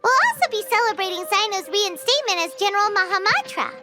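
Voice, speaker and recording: A woman's voice: very high voice, animated, quick pace. A woman voicing a tiny, curious, chirpy childlike companion in an anime video game. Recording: game music behind the voice.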